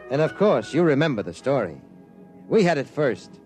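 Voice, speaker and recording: A man's voice: mid-range voice, big pitch swings, normal speed, loud music behind the voice, natural voice. An actor in a 1950s radio drama playing a world-weary male newspaper reporter who narrates the story. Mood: narrating.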